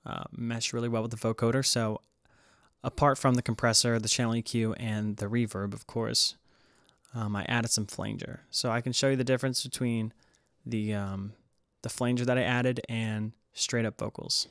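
A man's voice: medium pitch, level tone, moderate pace, a bit bright, dry recording, clean studio sound. A man giving a casual, hesitant tutorial voice-over as he explains music production. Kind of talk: casual screen-recorded tutorial narration.

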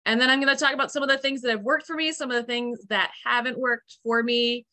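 A woman's voice: on the high side, steady tone, quick pace, pushed and bright. A young woman farmer giving a chatty, upbeat online presentation with many fillers, speaking over a video call. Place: room at home, indoors.

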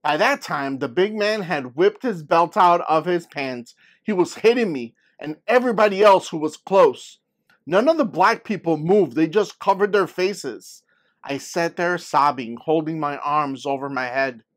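A man's voice: on the high side, expressive, unhurried. A man reading a picture book as a young girl earnestly and expressively telling her story. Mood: earnest, suspenseful. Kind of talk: scripted picture-book read-aloud.

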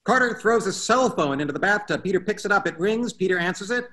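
A man's voice: high voice, expressive, fast talker, bright, forceful tone. A man reading stage directions as scripted narration at a table read over a video call. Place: room at home, video call.

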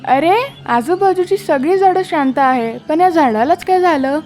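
Woman as a female myna, very high pitch, gentle, pleading, kids' cartoon, light music bed. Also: animated, a little slow. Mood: puzzled. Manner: earnest pleading. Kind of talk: children's moral story cartoon voicing.

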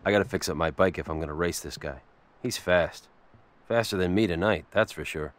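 Man acting a low-voiced tough American biker. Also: brisk, close to an everyday voice. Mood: matter-of-fact. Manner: terse, cool, self-assured. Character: tough, cocky, laconic male biker out for revenge. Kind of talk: video game cutscene acting.

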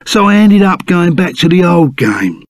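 An actor voicing a burly, good-hearted ex-bandit in a video game, with a London Cockney accent and a blunt, bantering, colloquial manner. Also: male voice, sits high, sing-song, normal speed, no room sound, studio quality. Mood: grateful, earnest. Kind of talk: video game character acting.

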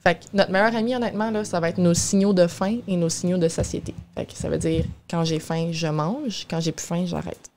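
Casting: young woman, Québécois accent, lowish voice, informal expert guest explaining in conversation. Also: steady tone, fairly quick, few pauses, darkish tone, a little hiss or hum. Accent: Québécois French. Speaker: guest nutritionist. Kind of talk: casual explanatory interview talk. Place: small radio studio, indoors. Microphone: boom-arm studio microphone with headphones.

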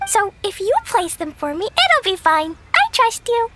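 Very high little girl, slow-paced, childlike, earnest, video game acting. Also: big pitch swings, pushed and bright, slight background noise, exaggerated voice. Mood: eager, trusting. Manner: childlike, wide-eyed, earnest. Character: excitable, earnest little girl adventurer, sweet and anxious. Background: game music.

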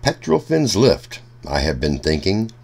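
A man reading a rhyming children's book aloud in a deliberate, sing-song storytelling manner. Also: low voice, sing-song, creaky.

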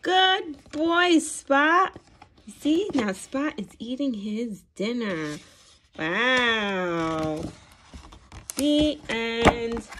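A woman reading a picture book aloud to young children in an animated, expressive way, with gasps, questions and encouraging praise. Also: fairly high pitch, extremely slow, a little hiss or hum. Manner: animated, expressive, sing-song. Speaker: children's read-aloud storyteller. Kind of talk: interactive picture-book read-aloud for toddlers.

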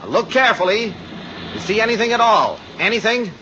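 An American actor playing an earnest, polite insurance adjuster who flies planes, explaining things in a 1950s radio drama. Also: male voice, sits high, sing-song, a little fast, sharp tone, exaggerated voice. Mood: instructive, intent. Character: earnest male insurance adjuster and seasoned pilot. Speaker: supporting actor. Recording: muffled, faintly noisy old radio recording.

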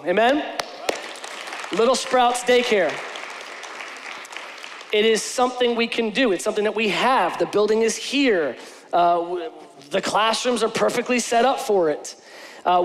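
Man, pastor, high voice, earnest conversational sermon, long hall reverb, church stage. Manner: conversational and earnest, with frequent restarts. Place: large church stage with lighting.

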